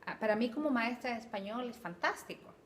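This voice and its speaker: A woman's voice: a little high, animated, brisk, slight background noise. A middle-aged woman from Chile, a Spanish teacher, answering interview questions thoughtfully with hesitant repeats.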